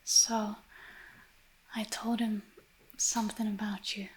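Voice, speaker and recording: A woman playing a scheming, seductive femme fatale in an ASMR noir radio drama, speaking in a soft, breathy, coaxing whisper. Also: mid-range voice, little pitch movement, lightly acted, rough recording. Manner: slow, intimate, coaxing.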